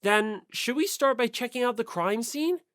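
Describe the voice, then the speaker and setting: An audiobook reader voicing a polite, earnest ordinary high school boy who speaks tentatively. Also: expressive, moderate pace, fairly bright tone, no echo, clean studio sound, close to an everyday voice. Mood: tentative, worried. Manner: tentative, mild. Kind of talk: audiobook character voice.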